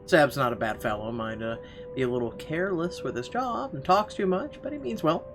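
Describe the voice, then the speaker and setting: A man narrating a visual novel as a let's player, reading aloud a little haltingly with casual asides. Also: on the high side, expressive. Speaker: gamer reading the story aloud. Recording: game music clearly behind the voice.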